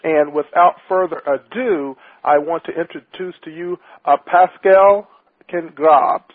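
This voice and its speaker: Talk show host, man, slightly high and husky, upbeat, repeats lines, dry tinny line. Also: measured pace, no room sound. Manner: upbeat, emphatic, repeats himself. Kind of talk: hosting an internet radio talk show.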